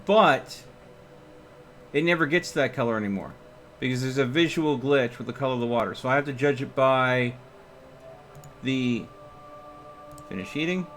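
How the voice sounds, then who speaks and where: A man's voice: mid-range voice, normal speed, pauses often, fairly bright tone. A man doing casual live gaming commentary as a streamer, talking himself through each step with exclamations. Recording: music behind the voice. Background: music.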